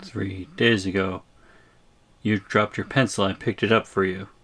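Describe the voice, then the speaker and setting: A man's voice: fairly low pitch, fairly even intonation, unhurried, a bit bright. A man playing a bewildered, exasperated shrunken student in an audio roleplay, stammering and incredulous. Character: bewildered, exasperated shrunken male student, three inches tall.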